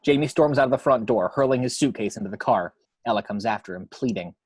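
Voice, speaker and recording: A man's voice: medium pitch, fast, breathy edge, dry recording. A man narrating stage directions from a script at an amateur table read.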